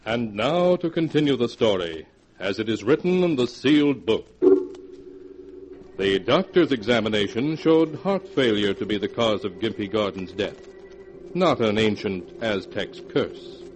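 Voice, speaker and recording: A man narrating a scripted 1940s radio horror tale in a formal, measured storytelling manner. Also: fairly low pitch, expressive, noisy background.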